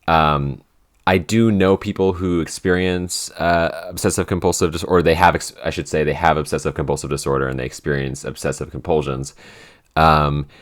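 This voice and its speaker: A man with an extremely low voice hosts a casual podcast question-and-answer session. He talks hesitantly, stopping and restarting his sentences, with plenty of fillers along the way. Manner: hesitant, many restarts and fillers, laughs easily. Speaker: podcast head writer and editor, co-host.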